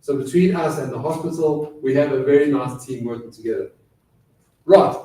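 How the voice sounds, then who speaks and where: A man's voice: medium pitch, moderate pace, dark tone. A middle-aged man with a South African accent shaped by years in Britain, giving a chatty, self-deprecating lecture full of fillers in a college lecture room. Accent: South African with British influence. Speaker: guest speaker. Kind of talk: lecture presentation. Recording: echoey room, voice far from mic.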